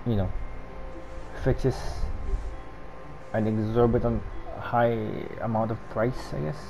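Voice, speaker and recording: A man's voice: mid-range voice, steady tone, takes it slowly, vocal fry, noisy background. A man with a Filipino accent, a native Tagalog speaker, giving casual game commentary in short reactions that trail off. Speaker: gaming streamer. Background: video game music.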